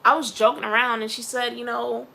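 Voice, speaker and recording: A woman's voice: on the high side, level tone, fairly quick, creaky, bright, forceful tone, a little hiss or hum. A young woman from Philadelphia with a Philly accent, talking casually and rambling in a personal vlog, often laughing and mentioning her faith. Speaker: vlogger.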